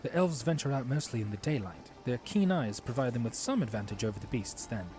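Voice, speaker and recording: A man playing a courteous, watchful young knight commander in an audio drama, speaking formally and earnestly in measured phrases. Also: mid-range voice, big pitch swings, darkish tone, faint music behind, natural voice. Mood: cautioning.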